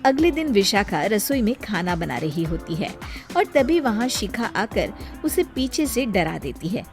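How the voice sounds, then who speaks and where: Woman narrator for scripted tales, measured and unhurried, light music bed underneath. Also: mid-range voice, very animated.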